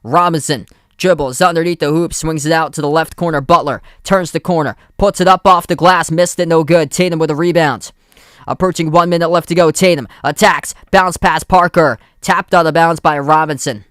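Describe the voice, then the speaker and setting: A young man with a Boston accent calls basketball play-by-play for his live stream in quick, clipped bursts. His voice sits a little high and comes through clean and smooth, with a dry, close sound and no room echo. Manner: steady running commentary in short clipped phrases. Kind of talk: amateur play-by-play commentary.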